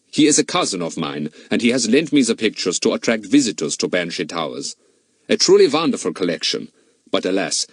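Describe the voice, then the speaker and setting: Storybook role: male reader as burly Austrian owner, very deep and husky, solemn, lamenting, quick to take offence. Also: no echo, studio quality, exaggerated voice. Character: big burly Austrian owner, solemn, mournful, easily indignant.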